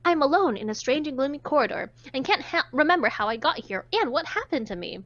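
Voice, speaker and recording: A woman's voice: high-pitched, expressive, quick pace, fairly bright tone. A woman reading a visual novel aloud with dramatic delivery and sarcastic, irreverent asides, on a slightly dull recording. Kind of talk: dramatic read-aloud with casual joking asides.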